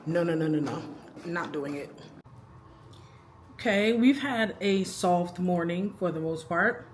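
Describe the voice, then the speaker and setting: A woman's voice: lowish voice, slow, a little hiss or hum. A woman in her thirties talking casually to the camera in a rambling, chatty vlog, laughing between thoughts. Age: 30s. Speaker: vlogger.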